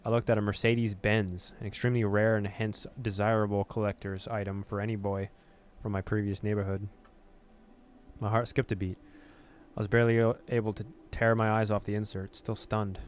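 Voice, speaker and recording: A man's voice: lowish voice, level tone, brisk, a little hiss or hum. A man hosting a let's-play, reading story text expressively and dropping in casual asides. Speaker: gaming video host.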